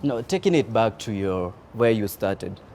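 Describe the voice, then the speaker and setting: A man's voice: fairly low pitch, a little slow, a little hiss or hum. A man hosting a casual interview, upbeat and chatty, with frequent murmurs of agreement. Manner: energetic greeting, frequent affirming murmurs. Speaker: interview host.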